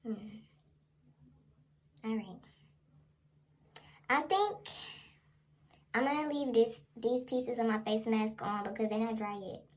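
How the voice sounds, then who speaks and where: A young woman speaks in a high-pitched voice. Her delivery is casual, slangy and playful, like a homemade ASMR role play or vlog. Some noise sits behind her voice. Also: vocal fry. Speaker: vlogger and ASMR creator.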